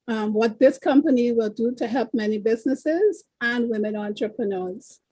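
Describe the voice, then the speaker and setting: A woman originally from Trinidad and Tobago giving earnest, measured remarks at a live online event. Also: on the high side, darkish tone, husky, no echo, poor audio. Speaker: event participant giving feedback.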